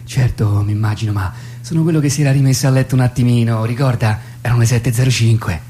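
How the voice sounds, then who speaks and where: A man's voice: mid-range voice, fairly even intonation, fast, dark tone, a little hiss or hum, natural voice. An actor playing a polite but exasperated, sleep-deprived young man who hesitates and protests in a comic radio play. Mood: sheepish. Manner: hesitant, repeating himself, protesting.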